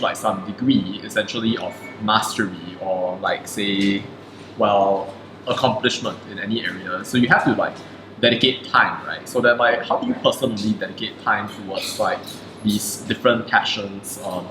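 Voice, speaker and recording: A man's voice: lowish voice, moderate pace. A man hosting an interview, asking long, meandering questions packed with "like" and "so then". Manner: rambling, hedging questions full of "like". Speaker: interview host. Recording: echoey room with clear background noise.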